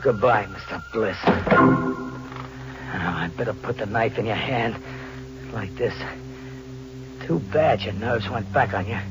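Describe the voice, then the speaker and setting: An actor playing a hunted, vengeful drifter in an old radio thriller, talking in tough slang with melodramatic, haunted delivery. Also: male voice, fairly low pitch, takes it slowly, pauses often, loud music behind the voice. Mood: cold, menacing. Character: hunted, desperate, vengeful male drifter on the run. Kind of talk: 1940s radio thriller acting with first-person narration. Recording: noisy, muffled old radio recording with hum.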